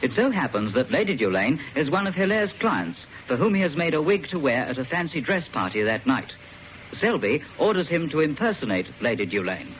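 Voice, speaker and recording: A man's voice: a little high, very animated, a little fast, a bit bright, slight background noise, low-quality recording. A man narrating a scripted story recap for a radio drama.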